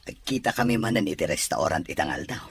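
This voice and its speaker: A man with a Filipino accent playing a grown son, husky and breathy, in a melodramatic audio drama. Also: lowish voice, animated, a little fast, a bit bright, dry recording, full character voice. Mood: amused, coaxing. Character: grown son talking back to his mother.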